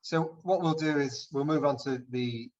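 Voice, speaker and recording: A man moderating an online webinar, speaking in a measured, polite way with small restarts. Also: fairly high pitch. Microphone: video call. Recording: dull lo-fi call audio.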